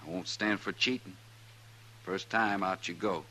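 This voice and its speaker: A man's voice: deep voice, steady tone, measured pace, pushed and bright. An actor playing a genial but firm reformed gambler in a 1950s radio western, self-assured and easygoing in his talk. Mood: confident, firm. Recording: faintly noisy old radio recording.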